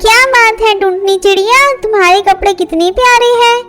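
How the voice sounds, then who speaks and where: A woman's voice: extremely high pitch, fairly quick. A woman voicing a young girl bird in a Hindi children's cartoon. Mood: haughty, boastful. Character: young girl birds, one haughty rich, one humble poor. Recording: loud music bed under voice. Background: loud music.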